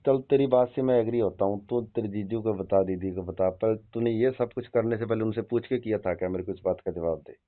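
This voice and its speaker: Older man played by an actor, low voice, evasive father-in-law, stammering, defensive, repetitive, phone drama, muffled lo-fi call audio. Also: fairly quick, few pauses, faint music behind, natural voice. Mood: arguing. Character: evasive older father-in-law dodging blame, pleading and cajoling.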